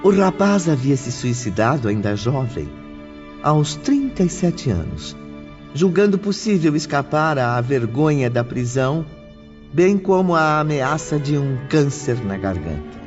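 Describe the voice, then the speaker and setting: A man narrates a dramatic audiobook with a very lively, mid-pitched delivery. Music runs behind him, and the recording is a little dull. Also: unhurried.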